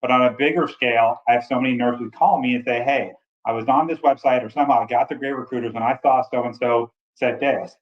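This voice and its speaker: A man in his forties with a big voice, telling his story with energetic enthusiasm in a casual interview. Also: medium pitch, a little fast, lo-fi sound. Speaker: travel nurse recruiter.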